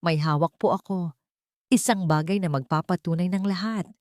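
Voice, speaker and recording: A woman's voice: on the low side, moderate pace, a little mellow, dry recording, clean studio sound, close to an everyday voice. A woman voicing a polite, emotional young woman who has been wronged, in an audio story. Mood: resolute.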